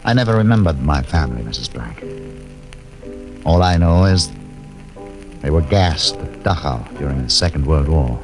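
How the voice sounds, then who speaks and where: A somber scientist who keeps everyone at arm's length, in a very low voice that still swings widely in pitch. The words come measured and formal, as on an old radio broadcast with music playing behind. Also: male voice, lively intonation, low-quality recording. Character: grave refugee scientist, man, cold and detached. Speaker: ship's doctor. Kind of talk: 1950s radio drama acting.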